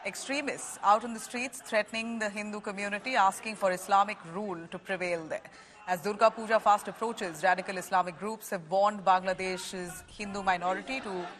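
Woman anchoring the news, faint studio hum. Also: mid-range voice. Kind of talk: scripted news reading.

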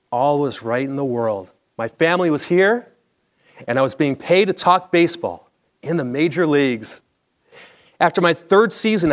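An American man in his forties with a slightly high voice tells a personal story from a script. His delivery is measured and polished, like a broadcaster's, as he speaks from a theatre stage. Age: 40s. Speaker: storyteller, former baseball broadcaster. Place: theatre stage, indoors, at a lectern.

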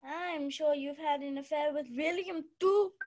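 A woman's voice: high voice, a little slow, a little mellow. A woman acting a jealous, melodramatic wife who speaks accusingly in a mystery radio play.

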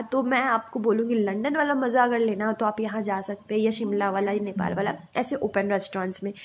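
A woman in her twenties narrating a casual food vlog, chatty and enthusiastic, mixing in English words. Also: a little high. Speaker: food vlogger.

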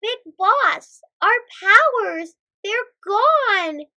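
A woman puts on an eager little boy superhero from a storybook. The voice is very high and swings widely, excitable and dramatic. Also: slow pace, dull audio, exaggerated voice. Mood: alarmed, urgent.

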